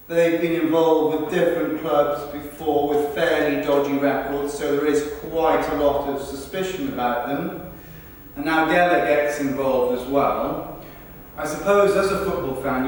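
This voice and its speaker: A man's voice: a little high, close to an everyday voice. A man performing verbatim theatre monologues, earnestly telling people's accounts in a rehearsal. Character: people recounting a football club's celebrity visit. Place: large reverberant rehearsal room. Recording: echoey hall, distant mic, faint noise.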